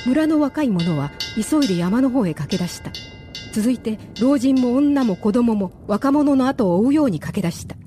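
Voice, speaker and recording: A woman's voice: on the high side, expressive, moderate pace, music in the background. A woman narrating a radio drama as a scripted, dramatic story.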